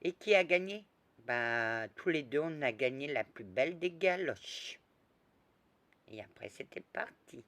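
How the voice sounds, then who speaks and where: A woman recounts a long personal tale in a loose, rambling way, doubling back and restarting her sentences. Her voice is bright and forward, and she takes her time. The recording is dry, with no room sound. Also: very deep voice, unhurried, no room sound. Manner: lively, rambling, with restarts and asides. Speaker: storyteller recounting past lives. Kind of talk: casual spoken storytelling.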